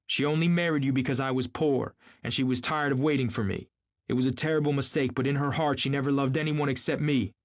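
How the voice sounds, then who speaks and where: Man acting an intense, earnest lovestruck gentleman. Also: lowish voice, fairly even intonation, normal speed, dry recording, studio quality, close to an everyday voice. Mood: vivid, impassioned. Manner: earnest, emphatic, polite.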